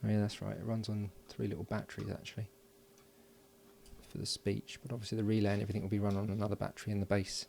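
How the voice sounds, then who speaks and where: A man's voice: fairly low pitch, completely flat delivery, quick pace, vocal fry, darkish tone, a little hiss or hum. A man giving casual, unscripted hands-on commentary as he builds an electronics project, murmuring along while he works. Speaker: hobbyist electronics builder and presenter.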